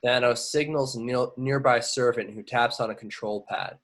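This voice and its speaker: A man's voice: mid-range voice, steady tone, normal speed, fairly bright tone. A man reading scripted action descriptions as narrator of an amateur table read. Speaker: reader of stage directions.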